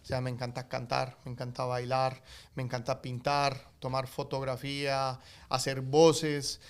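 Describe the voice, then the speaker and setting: A middle-aged man with a Colombian accent, telling his life story as a guest in a relaxed interview, full of fillers and restarts. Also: medium pitch, unhurried, smooth. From United States, Colombian family. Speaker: guest, actor and coffee entrepreneur. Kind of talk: casual interview storytelling in Spanish.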